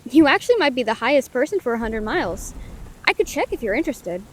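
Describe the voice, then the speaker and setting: A woman's voice: high-pitched, sing-song, quick pace. A woman playing a friendly, curious, caring lightning elemental in a scripted audio roleplay, speaking earnestly in quaint, formal words. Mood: friendly, playful. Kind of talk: scripted audio roleplay, gentle comforting monologue. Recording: rain behind the voice.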